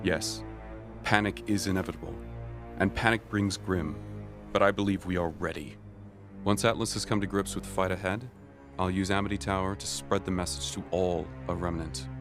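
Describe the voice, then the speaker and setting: A stern middle-aged cartoon general with a low voice. He speaks in a measured, solemn, commanding way, with faint music behind him. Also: steady tone. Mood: resolute. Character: grave, resolute middle-aged male military general in a cartoon. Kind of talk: animated drama dialogue.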